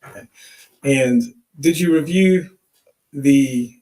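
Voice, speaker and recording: A man working as an attorney, questioning a witness deliberately with leading questions in a courtroom. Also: mid-range voice, dark tone, poor audio. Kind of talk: courtroom cross-examination.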